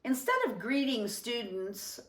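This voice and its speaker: A woman reading a children's story aloud with expressive, deliberate and emphatic phrasing. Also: a little high, distant mic. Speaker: storybook reader. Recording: roomy, echoing sound.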